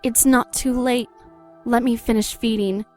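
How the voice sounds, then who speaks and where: A seductive female vampire, played by an actress in an audio drama. Her voice is high, taunting and coaxing, with faint music behind it. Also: fairly even intonation, unhurried, a little mellow, natural voice. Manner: coaxing, mocking. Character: seductive, taunting vampire wife, hungry and pleading.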